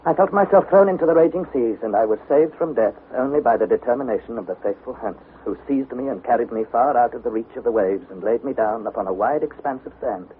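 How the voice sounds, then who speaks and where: A man's voice: medium pitch, quick pace, hardly any pauses, narrow, tinny audio, close to an everyday voice. An actor playing an anxious young explorer in a vintage radio adventure, narrating his diary with theatrical intensity. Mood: weary, reflective. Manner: theatrical, intense, breathless delivery. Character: young male explorer, dramatic, anxious diarist. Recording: noisy, phone-thin vintage radio recording.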